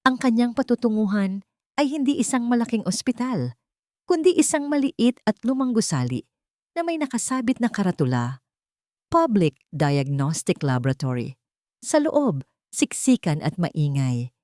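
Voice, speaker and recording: A woman's voice: medium pitch, sing-song, moderate pace, darkish tone, no room sound, clean studio sound. A woman reading a scripted dramatic audio story as narrator.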